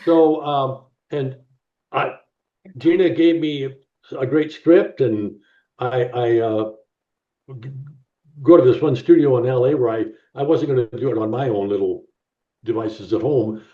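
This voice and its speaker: A middle-aged man, an actor, chatting casually in an interview and often repeating words as he gets to his point. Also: mid-range voice. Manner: halting, repeats words as he talks. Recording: roomy, distant, dull call audio.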